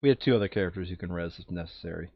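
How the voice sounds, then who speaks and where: A man's voice: sits low, racing, no echo. A man chatting casually and jokily as he plays a tabletop game. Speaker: board game player. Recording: dull lo-fi sound.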